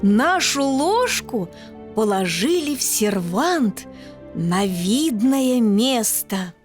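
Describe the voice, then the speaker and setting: A woman storyteller narrating a children's bedtime tale in an expressive, articulate way. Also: a little high, drawn-out words, faint music behind.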